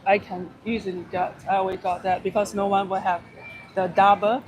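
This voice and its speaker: A woman's voice: mid-range voice, little pitch movement, brisk, over music. Woman co-host in broken English. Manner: simple broken English, laughs often. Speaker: vlog co-host. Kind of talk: casual live-stream chat. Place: restaurant at breakfast with crowd around. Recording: busy crowd chatter behind.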